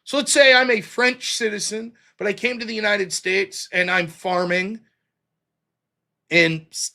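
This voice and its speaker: A man's voice: sits high, fairly even intonation, a little slow, sharp tone. A man hosting a solo political commentary livestream, explaining a legal reading point by point in an argumentative, emphatic way. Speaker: livestream show host.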